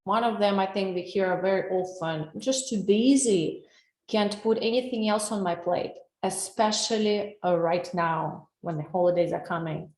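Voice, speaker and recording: A woman with a Russian accent talks through a wellness webinar, earnest and personal, turning to her audience with questions. Her voice sits at a middle pitch and comes over a computer mic on a video call. The room around her sounds echoey. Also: darkish tone. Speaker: wellness coach. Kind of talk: live webinar presentation.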